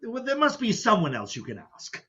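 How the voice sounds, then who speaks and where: A man's voice: high-pitched, huge pitch swings, brisk, pushed and bright, husky, dull audio, exaggerated voice. A man playing a flustered, reluctant doctor who protests hesitantly in a stage farce. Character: flustered, reluctant mild male doctor, easily put upon. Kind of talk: stage farce readers theater acting.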